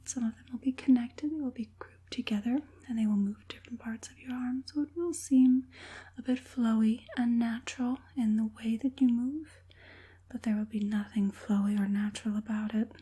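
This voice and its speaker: A woman playing an evil, proud and doting witch puppet master in a polite, breathy ASMR whisper with occasional softly voiced words. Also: fairly high pitch, steady tone. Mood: sinister, calm, businesslike. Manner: unhurried, polite, hushed, deliberate with repeated affirmations.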